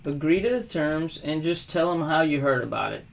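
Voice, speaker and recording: A middle-aged man from South Carolina walking through a software setup at his desk, relaxed and unhurried, with frequent ums and uhs. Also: a little high, gravelly. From South Carolina, USA. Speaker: WordPress tutorial host. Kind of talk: casual screen-recorded software tutorial. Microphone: webcam overlay at desk.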